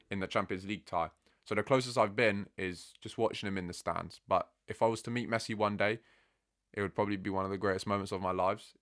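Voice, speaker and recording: Young man in his twenties from England, low voice, loose and conversational, slangy football-fan Q&A. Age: 20s. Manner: relaxed, chatty, says "bro" often. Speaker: football content creator. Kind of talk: casual Q&A chat.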